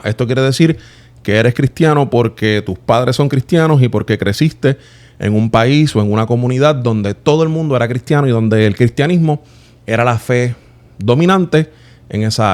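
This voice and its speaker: A Puerto Rican man in his thirties with a mid-range voice talks straight to the viewer. He explains things in an earnest, personal way, like someone reflecting out loud for his channel. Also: medium pitch, lively intonation, moderate pace. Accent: Puerto Rican Spanish. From Puerto Rico.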